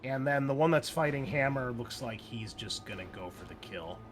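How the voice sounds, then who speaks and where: A man's voice: mid-range voice, lively intonation, moderate pace, soft background music. A man running a tabletop game, narrating action dramatically in casual, profanity-laced speech. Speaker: game master.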